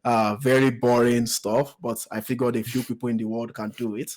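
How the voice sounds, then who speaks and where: A man from Nigeria with a Nigerian accent, hosting a podcast interview in a relaxed, hesitant way with many fillers and repeated words. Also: mid-range voice, moderate pace. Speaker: podcast host. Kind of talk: conversational interview.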